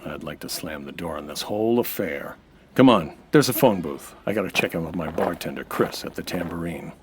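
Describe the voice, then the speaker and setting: A man's voice: sits low, expressive, brisk, noisy background. A man playing a wry American nightclub owner and sleuth in old-time radio noir style, dry, tough and slangy. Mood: dry, weary.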